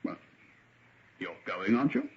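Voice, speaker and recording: A man acting a hearty, teasing young English gentleman with a British accent in an old-time radio drama, jovial and bantering. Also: fairly low pitch, wildly swooping pitch, a little slow. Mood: frank, prodding. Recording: dull, band-limited vintage radio recording.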